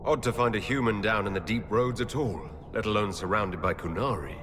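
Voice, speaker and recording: An actor playing a composed elf Inquisitor in a fantasy game, speaking in a measured way. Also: male voice, lowish voice, background noise, lightly acted. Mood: wary. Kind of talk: video game dialogue acting. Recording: long reverb, loud music behind.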